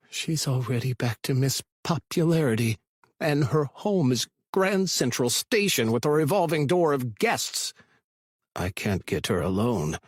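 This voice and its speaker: A man's voice: medium pitch, slightly rough, no room sound, clean studio sound. Man acting a brooding, obsessive male stalker. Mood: frustrated, scheming. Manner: brooding, self-absorbed, intense. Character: obsessive, possessive male stalker, frustrated and scheming. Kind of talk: first-person thriller audiobook narration.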